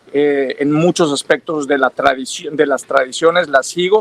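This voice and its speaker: A man's voice: on the high side, fairly bright tone. A Mexican man giving long, reflective interview answers, hesitating often with fillers and restarts. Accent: Mexican Spanish. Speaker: interview guest.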